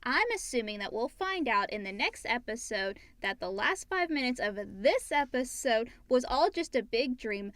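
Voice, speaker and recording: A woman's voice: on the high side, very animated, moderate pace, few pauses, a bit bright, no echo. A woman co-hosting a podcast, chatting casually and reading out listener questions. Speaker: podcast co-host.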